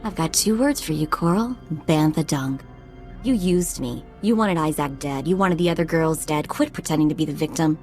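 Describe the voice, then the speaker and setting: A woman voicing a sassy, street-smart young sidekick in a video game, informal, expressive and sarcastic. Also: deep voice, normal speed, soft background music, lightly acted. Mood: angry, scornful.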